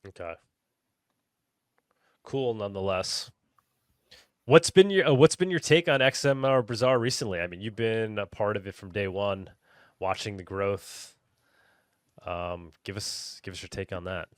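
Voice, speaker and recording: Low-voiced male podcast host, halting. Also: no room sound, studio quality. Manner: halting, rephrases questions as he goes. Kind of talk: casual interview talk.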